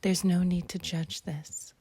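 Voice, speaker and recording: Breathy, near-whispering woman guiding meditation slowly. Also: lowish voice, steady tone. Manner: gentle, unhurried, with long pauses between short phrases. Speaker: meditation guide. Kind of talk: guided meditation, scripted and softly delivered.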